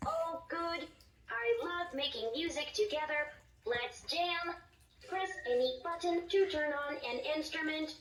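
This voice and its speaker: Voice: sits high, a bit bright, a little hiss or hum. A voice actor doing a chirpy little toy robot. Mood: cheerful. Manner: upbeat, encouraging, sing-song. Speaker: learning toy voice. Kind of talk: scripted toy voice lines. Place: toy speaker played in a room. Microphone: recorded from a distance. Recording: roomy, distant toy speaker with music.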